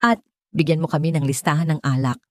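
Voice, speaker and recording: A woman narrator plays an arrogant billionaire man in an audio story. The delivery is pompous and fairly low. The recording is dry and close. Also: fairly even intonation, fast talker, a little mellow, no room sound, close to an everyday voice. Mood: humbled, forced. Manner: pompous, declaiming. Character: arrogant, cruel male billionaire diner.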